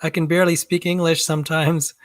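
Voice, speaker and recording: A man's voice: a little high, flat delivery, a little fast, dry recording. A man making polite, casual conversation with a fellow presenter. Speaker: debate participant.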